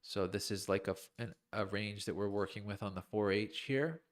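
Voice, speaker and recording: Low-voiced man talking through trading charts. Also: normal speed. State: losing his voice, clearing his throat. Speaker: crypto trading streamer. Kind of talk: live chart analysis commentary, thinking aloud.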